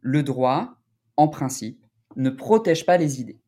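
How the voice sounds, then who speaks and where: A man speaking as an academic researcher in an interview, explaining in a deliberate, halting, scholarly way. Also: medium pitch, animated, dark tone.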